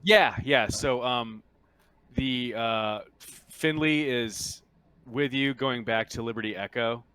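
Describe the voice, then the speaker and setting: A man narrating a tabletop game as game master, in a halting, informal, conversational way. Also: mid-range voice, sharp tone, smooth.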